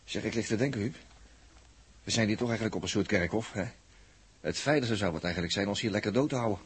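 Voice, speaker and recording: A man's voice: fairly low pitch, quick pace, slightly rough. A man with a Netherlands Dutch accent acting a practical, easygoing, wry space crewman in a 1960s science-fiction radio drama, musing and conversational. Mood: drowsy, wry.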